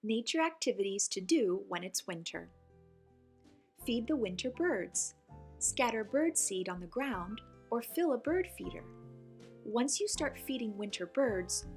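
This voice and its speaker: A woman reading a picture book aloud for young children, with expressive, clearly phrased delivery as the host. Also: medium pitch. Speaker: children's story reader and host.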